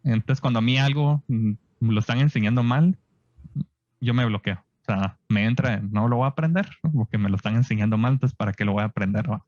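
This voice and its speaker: Man, Guatemalan accent, 30s, relaxed storyteller, dry close sound, muffled lo-fi audio. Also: medium pitch, fairly quick, dry recording. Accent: Guatemalan Spanish. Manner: relaxed, chatty, frequent "¿va?" tags and restarts. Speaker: guest scientist. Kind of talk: casual storytelling interview. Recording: dull lo-fi dry podcast audio.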